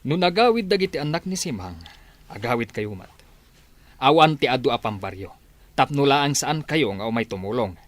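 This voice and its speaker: A man's voice: medium pitch, lively intonation, moderate pace, dry recording, close to an everyday voice. A man playing a stern, demanding middle-aged farmer father in an Ilocano radio drama.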